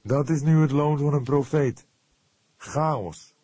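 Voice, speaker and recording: A man's voice: fairly high pitch, takes it slowly, warm tone, breathy edge, dry recording, voice pushed up. A man voicing a cynical, mocking police photographer in an audiobook, brash and offhand in his scorn.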